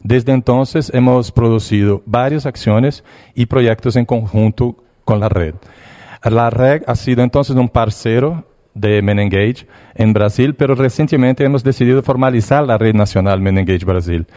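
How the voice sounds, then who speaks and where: A man's voice: medium pitch, warm tone. Hesitant Brazilian man presenting. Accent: Brazilian Portuguese. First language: Portuguese. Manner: hesitant, pausing, restarts words. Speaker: conference presenter. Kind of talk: presentation with slides.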